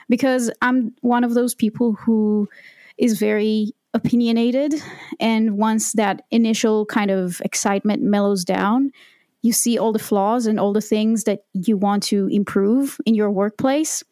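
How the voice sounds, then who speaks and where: A woman's voice: mid-range voice, darkish tone, dry recording, clean studio sound. A woman, a podcast guest telling her story in a reflective, candid way. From Israel. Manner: reflective and candid, with frequent ums and restarts. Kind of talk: conversational storytelling.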